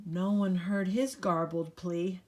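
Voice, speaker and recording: A woman's voice: lowish voice, steady tone, takes it slowly, darkish tone. An older woman, a grandmother, reads a picture book aloud to young children in a playful sing-song way, adding chatty asides to the listeners. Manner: playful, sing-song listing with dramatic emphasis; talks directly to young listeners.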